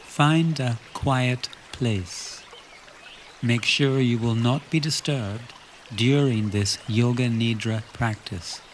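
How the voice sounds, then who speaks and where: A man leading a guided relaxation meditation, giving calm instructions in short phrases with long pauses between them. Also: medium pitch, a little hiss or hum.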